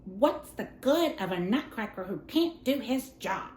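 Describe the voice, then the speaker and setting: A woman's voice: a little high, animated, measured pace, a little mellow, slight background noise. Storybook brat played by a woman: scornful little boy, snorting and sneering, in an echoey room. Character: sneering, bratty little boy in a fairy tale. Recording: roomy, echoing room sound.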